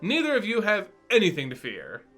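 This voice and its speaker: An enigmatic woman mage from a storybook, played by a man, guiding young travelers. She is composed and formal, with a high, bright, matter-of-fact delivery. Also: huge pitch swings, brisk, faint music behind, full character voice. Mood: calm.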